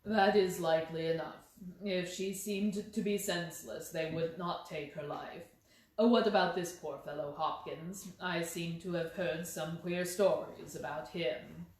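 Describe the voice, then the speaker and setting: A woman voicing a cool, analytical, measured male detective in a storybook reading. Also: fairly low pitch, fairly even intonation, a little mellow, heavy reverb, voice pushed down, close to an everyday voice, low-quality recording. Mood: cool, inquiring.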